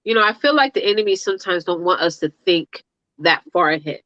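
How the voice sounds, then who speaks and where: A woman's voice: lowish voice, animated, fairly quick, pushed and bright, no echo. Earnest motivational woman, dry muffled sound. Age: 30s. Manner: earnest, motivational, repeats phrases. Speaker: talk show co-host. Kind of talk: casual talk show chat.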